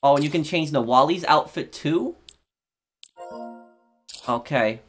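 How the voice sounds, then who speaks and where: A man hosting a gaming video, giving casual playthrough commentary in a halting, think-aloud way. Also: on the high side, soft background music.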